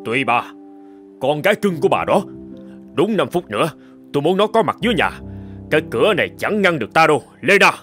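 A man's voice: a little high, sing-song, brisk, long pauses, fairly bright tone. A man voicing a stern, harsh, commanding middle-aged father in a cartoon drama. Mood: angry, commanding. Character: stern, domineering wealthy father, bald and bearded. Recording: music behind the voice.

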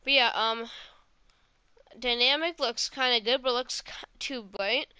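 A woman's voice: on the high side, unhurried, sharp tone, clean tone, no room sound. A woman giving casual, rambling let's play commentary as a gaming host, swearing often.

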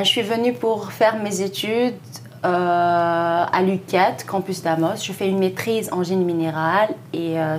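A young woman with a mid-pitched voice speaks into a lapel mic in a small TV studio. She answers haltingly, pausing on fillers, and a faint room ambience sits behind her. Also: darkish tone, slight background noise. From southern Morocco. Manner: hesitant, many fillers. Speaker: graduate student, committee volunteer. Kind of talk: studio TV interview.

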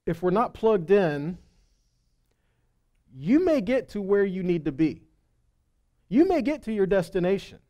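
High-pitched, mellow-toned male preacher. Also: big pitch swings, unhurried, pauses often, dull audio. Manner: folksy, conversational, emphatic with rhetorical questions. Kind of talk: sermon with scripture reading and casual storytelling. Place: indoors on a stage at a congregation hall. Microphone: headset microphone.

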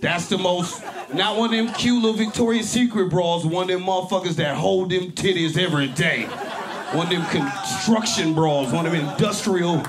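A man in his forties from Berkeley, California, doing a profane, animated stand-up set on a club stage with a handheld mic. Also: high-pitched, normal speed, background noise. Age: 40s. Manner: loud, animated, profane punchlines with shouted peaks. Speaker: stand-up comedian. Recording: live club with crowd laughter. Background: audience laughter.

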